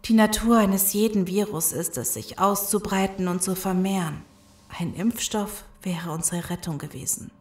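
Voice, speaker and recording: Actress as earnest scientist, female, mid-pitched, audio drama, echoey space. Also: normal speed, lightly acted. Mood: explanatory. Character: earnest scientist woman explaining a zombie virus. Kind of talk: German audio drama acting. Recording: echoey room sound.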